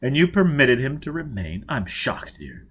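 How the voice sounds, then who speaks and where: A composed, patrician father from an audiobook, acted by a man. He speaks formally at a middle pitch, protective yet admonishing, with measured authority and varied intonation. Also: husky, full character voice. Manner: calm, formal, gently admonishing.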